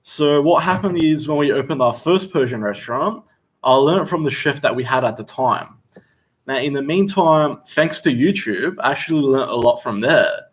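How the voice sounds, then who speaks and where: A young Australian man talks easily through a casual livestream question session. His voice sits at a middle pitch, and his delivery is loose and conversational as he answers questions. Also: moderate pace. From Western Australia. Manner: relaxed and chatty, reads viewer questions aloud. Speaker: chef hosting a Q&A livestream. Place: seated indoors.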